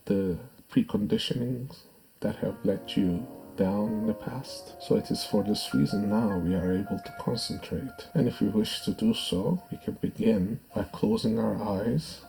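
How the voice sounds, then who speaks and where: A man narrating a guided meditation in a measured, soothing, instructive way. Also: fairly low pitch, creaky, dark tone, poor audio. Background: background music.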